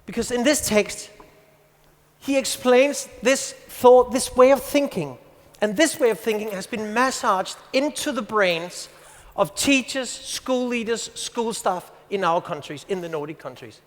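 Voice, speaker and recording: A man's voice: high-pitched, expressive. Danish man in his fifties speaking in a hall. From Denmark. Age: 50s. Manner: storytelling, reads a passage aloud with pauses. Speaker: conference speaker. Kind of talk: presentation to an audience. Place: large conference hall. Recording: hall reverb.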